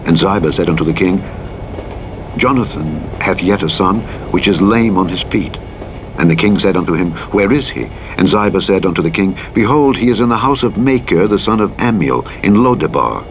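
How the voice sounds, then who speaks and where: A man reads scripture solemnly as a narrator, in a measured, formal delivery. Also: low voice, animated, lo-fi sound. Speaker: Bible audiobook narrator. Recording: clear engine and vehicle noise. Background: truck simulator game driving sounds.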